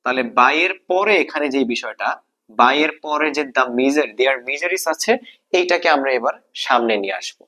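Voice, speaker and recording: A man's voice: mid-range voice, animated, moderate pace, pushed and bright. A man with a Bangladeshi accent teaching a grammar lesson step by step, often asking questions and answering them himself, repeating key points. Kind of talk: exam-prep grammar lesson, explaining step by step.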